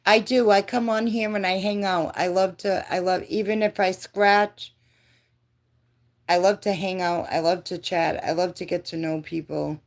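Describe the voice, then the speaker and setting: A woman's voice: lowish voice, fairly even intonation, moderate pace. A woman in her fifties chatting casually with live viewers, laughing often and answering comments. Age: 50s. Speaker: livestream host.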